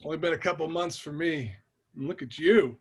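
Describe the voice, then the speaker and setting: Father figure, male, played by a man: proud, commanding, measured, lighter higher pitch, drama read. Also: gravelly, slightly rough. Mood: proud, affectionate. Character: proud, quietly commanding father of a grown son. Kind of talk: scripted drama table read.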